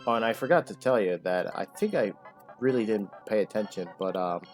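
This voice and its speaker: A man's voice: lowish voice, expressive, a little fast. Rambling man over game music. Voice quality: loud voice. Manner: rambling, filler-heavy, self-correcting. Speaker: gaming channel host. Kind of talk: casual gameplay commentary.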